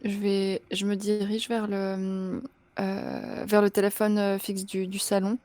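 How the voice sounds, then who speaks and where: A woman's voice: medium pitch, big pitch swings, unhurried, a little mellow, dry recording. Hesitant woman playing a tabletop roleplaying game. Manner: hesitant, thinking aloud. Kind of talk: improvised in-character roleplay and casual table talk.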